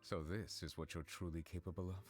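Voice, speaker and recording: An actor playing a reserved, dignified male chief justice in a fantasy game, speaking in a formal, measured, gentlemanly manner. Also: low-pitched, fairly even intonation, soft background music, lightly acted. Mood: composed, resolute. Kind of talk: scripted game character voice lines.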